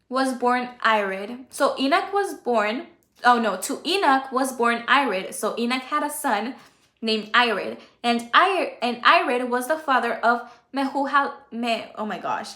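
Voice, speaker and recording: A woman's voice: on the high side, a bit bright. A woman whose first language is Spanish, hosting a casual Bible study livestream, chatty and correcting herself as she reads. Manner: chatty, self-correcting, stumbles over names. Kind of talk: casual Bible reading and commentary. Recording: echoey room.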